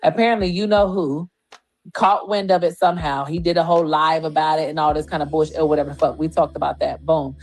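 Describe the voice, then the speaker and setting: A woman's voice: sits low, steady tone, quick pace, a little mellow, poor audio. A woman in a heated casual argument on a livestream, defensive and insistent, swearing freely as she explains herself. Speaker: livestream panel participant.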